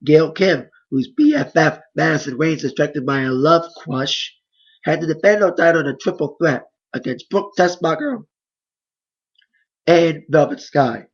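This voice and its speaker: A hoarse man giving a casual, rambling play-by-play recap of wrestling matches. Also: fairly high pitch, a little slow, dull audio. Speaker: wrestling reviewer.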